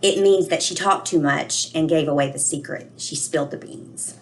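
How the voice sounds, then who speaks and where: A woman reading aloud and teaching children, explaining expressively and pausing to ask questions. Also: low voice, slight background noise. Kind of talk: read-aloud lesson with questions to young listeners.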